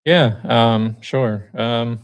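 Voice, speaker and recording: A man from the United States, a guest truck driver on a live stream, talking casually and hesitantly with many pauses. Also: mid-range voice, smooth. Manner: relaxed, hesitant with many ums.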